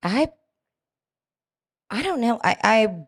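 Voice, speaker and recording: A woman's voice: a little high, very animated, slow. A woman guest on a podcast, chatting casually and laughing easily about working as a bar rep. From not local to the area. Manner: chatty, laughs easily, loud "mom voice" she says rarely comes out.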